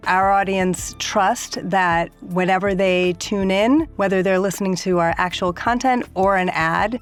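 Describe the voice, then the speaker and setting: A woman's voice: medium pitch, steady tone, a little slow, smooth, faint music behind. A woman speaking as a podcast guest, explaining in an articulate, conversational way. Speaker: podcast guest, executive producer of children's audio. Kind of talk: conversational interview answers.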